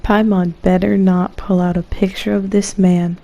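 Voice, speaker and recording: A woman's voice: mid-range voice, little pitch movement, normal speed, gravelly, mellow. A woman streamer giving casual, slangy commentary on a game, playfully suspicious and talking back to the characters. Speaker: streamer playing and reacting to a game. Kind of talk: casual live gameplay commentary.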